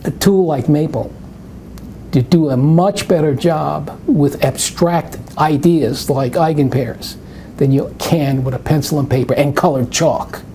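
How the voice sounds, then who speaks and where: A man's voice: fairly high pitch, unhurried, mellow, a little hiss or hum. An older man with a New York accent answering questions in a live math webinar, rambling into anecdotes and restarting his sentences. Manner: rambling, anecdotal, self-interrupting. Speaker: math presenter.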